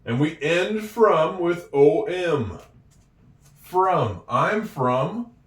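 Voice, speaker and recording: Slow, lively-voiced man teaching handwriting. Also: fairly high pitch, far from the mic. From Oregon, USA. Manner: chatty, encouraging, talks to the viewer. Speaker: handwriting and English tutor for a child. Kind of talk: slow, patient writing lesson. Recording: echoey room, voice away from the mic.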